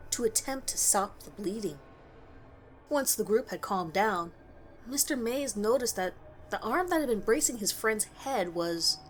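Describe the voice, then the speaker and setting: A woman reading a scripted scary story as narrator, in a measured, dramatic way with pauses. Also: mid-range voice, a little hiss or hum.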